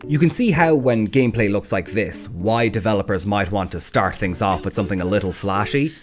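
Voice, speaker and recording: A man's voice: lowish voice, brisk. A man reading scripted video essay narration in a wry, sardonic, sweary way. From Ireland. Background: background music.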